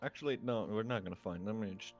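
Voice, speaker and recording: A man's voice: lowish voice, quick pace, highs cut off. A man playing a tabletop game, teasing the others in a playful way. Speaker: player. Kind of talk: casual game chat.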